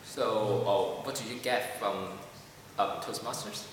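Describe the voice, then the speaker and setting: A man speaking English as a second language, answering interview questions with many pauses, fillers and restarts. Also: on the low side, steady tone, a little hiss or hum. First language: not English. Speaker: club member. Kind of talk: casual interview. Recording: echoey hall from far off.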